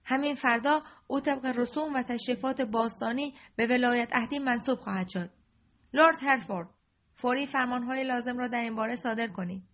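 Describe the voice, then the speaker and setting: A woman voicing an aging male king who is commanding and fatherly, with a husky voice on a dull lo-fi recording. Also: fairly high pitch, very animated, voice pushed up. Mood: wrathful. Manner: imperious, loud commands.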